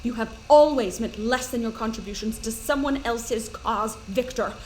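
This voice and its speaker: A woman's voice: on the high side, moderate pace, darkish tone, a little hiss or hum, close to an everyday voice, low-quality recording. A woman playing a cold, threatening doctor in command in an audio drama, clipped and contemptuous. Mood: cold fury.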